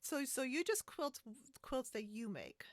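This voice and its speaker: An American woman chatting casually as a podcast host, with a halting, wandering delivery. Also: high-pitched, very animated, no room sound, studio quality. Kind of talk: casual interview chat about a hobby. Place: remote split-screen video call.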